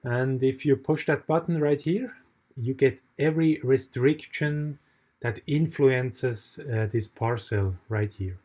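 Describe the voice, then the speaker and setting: A Swiss man with a mid-pitched voice teaches an online course in English, his second language. He pauses often, fills gaps with "uh" and restarts sentences as he walks through a shared screen. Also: dark tone. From Switzerland. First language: not English. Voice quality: hesitant, frequent fillers and restarts. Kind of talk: recorded tutorial with screen share.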